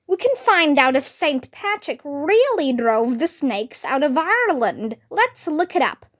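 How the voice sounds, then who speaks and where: A woman voicing an earnest grown-up female schoolteacher in a storybook, excited and instructive. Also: high voice, measured pace. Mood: eager. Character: earnest grown-up female teacher, eager to explain.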